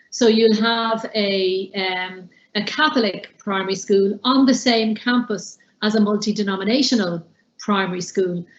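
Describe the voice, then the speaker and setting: A woman speaking on an online panel, thoughtful and often restarting her phrases. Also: medium pitch. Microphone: video call. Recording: echoey, dull video-call audio.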